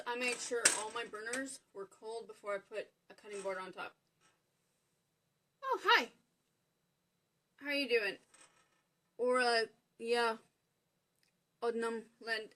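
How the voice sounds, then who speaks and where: A woman with a Canadian accent, her voice pitched a little high, hosts a live cooking stream from her home kitchen. She is chatty and apologetic, greeting viewers by name as she explains each step. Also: moderate pace, long pauses, a bit bright. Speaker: cooking show host.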